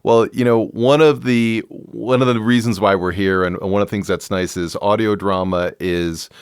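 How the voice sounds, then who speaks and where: A man's voice: lowish voice, fairly even intonation, normal speed, clear, resonant tone, no echo, studio quality. A man hosting a podcast interview in a conversational style.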